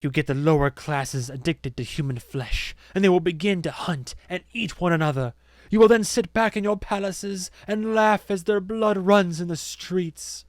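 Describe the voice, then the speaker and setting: A man's voice: high-pitched, normal speed, dry recording, clean studio sound, voice pushed up, close to an everyday voice. A man voicing a menacing woman zealot in an audiobook, theatrical and accusing. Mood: grandly condemning. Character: solemn, menacing woman zealot preaching to followers. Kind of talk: audiobook character acting.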